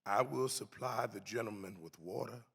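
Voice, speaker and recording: A man voicing a humble, good-natured poor man in a dramatic historical reading, speaking with quiet, earnest firmness. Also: fairly low pitch, vocal fry, husky, voice pushed down. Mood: gentle, firm. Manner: quiet, earnest, unhurried refusal. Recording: long hall reverb.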